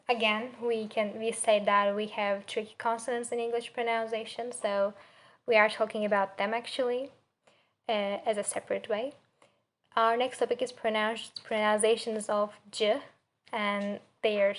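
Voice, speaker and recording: A young woman with a Turkish accent giving a spoken student presentation, haltingly searching for words and pronouncing example words carefully. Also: a little high, level tone. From Turkey. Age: young adult. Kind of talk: spoken assignment presentation on pronunciation.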